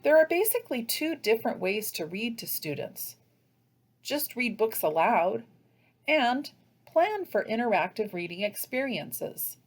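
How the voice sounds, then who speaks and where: An American woman narrating a scripted instructional presentation as a teacher trainer, explaining in a measured, didactic way. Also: a little high, very animated.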